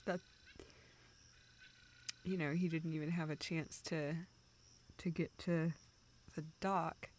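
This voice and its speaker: A woman acting a tearful, self-deprecating young woman in an intimate audio roleplay, halting and rambling as she talks to a close friend. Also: on the low side, a little hiss or hum. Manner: halting, rambling, soft laughs and whispered asides.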